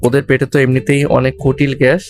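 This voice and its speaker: A man's voice: mid-range voice, monotone, fairly quick, natural voice. A man playing a cheeky young servant in an audio story, teasing with mock politeness and sarcasm. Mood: mock-polite. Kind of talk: Bengali audio story acting. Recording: background music under the voice.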